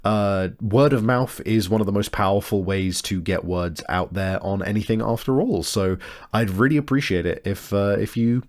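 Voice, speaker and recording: A man's voice: on the low side, normal speed. A man hosting a tabletop game podcast, speaking casually and hesitantly as he searches for his words. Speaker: game master and show host. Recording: music behind the voice. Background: music.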